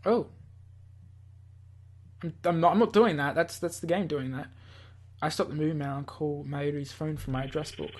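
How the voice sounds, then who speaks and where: Slightly husky gaming host reading aloud. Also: medium pitch, moderate pace, long pauses. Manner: stumbles over words, self-correcting, playful. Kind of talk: reading a visual novel aloud with asides.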